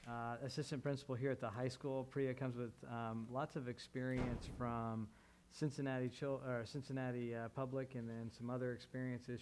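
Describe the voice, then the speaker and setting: A school administrator, a man, giving friendly, unhurried remarks at a board meeting. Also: mid-range voice, darkish tone.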